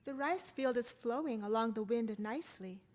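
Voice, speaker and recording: Solemn queen of an ancient kingdom read by a woman, lovelorn, declamatory, fairly high voice, stage play reading. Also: moderate pace, darkish tone, close to an everyday voice. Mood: regal. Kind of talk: play table read with poetic monologues.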